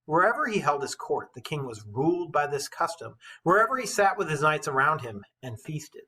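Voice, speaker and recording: Teacher, husky voice, expressive poetry read-aloud, dramatic emphasis, informal asides. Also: mid-range voice, normal speed. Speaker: literature teacher reading aloud. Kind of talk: expressive poem read-aloud with casual commentary.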